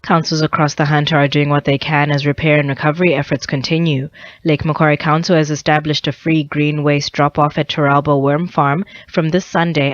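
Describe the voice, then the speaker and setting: A woman's voice: sits low, dry recording, muffled recording. A woman reading a scripted radio news bulletin as the newsreader. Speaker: news reader. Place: radio studio.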